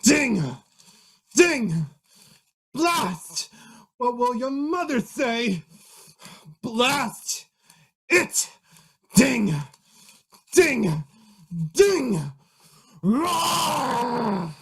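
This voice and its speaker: A storybook lion , as a woman puts him on, vain and hot-tempered, who blusters and roars. He speaks slowly and gruffly, dragging out his threats. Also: fairly high pitch, sing-song, exaggerated voice. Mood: furious. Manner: roaring, blustering, slow and gruff.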